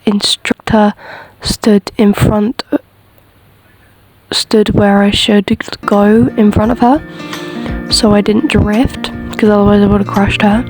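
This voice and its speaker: Even-toned woman narrating over soft music. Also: mid-range voice, slow pace, rough recording. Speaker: horse rider narrating own lesson footage. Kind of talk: casual voiceover commentary.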